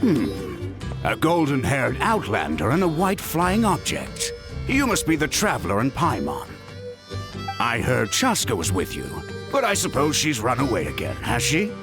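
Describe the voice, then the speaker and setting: A gruff middle-aged dad in a video game, creaky and exasperated. His pitch swings dramatically, with music behind him. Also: huge pitch swings, measured pace. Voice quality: gravelly. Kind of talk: English game dub acting.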